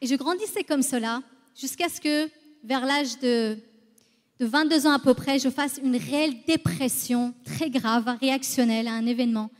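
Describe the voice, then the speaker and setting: High-voiced Iranian-born woman in echoing hall. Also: darkish tone. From Iran, moved to France at eleven. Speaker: guest giving testimony. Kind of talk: personal church testimony. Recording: reverberant hall, long echo.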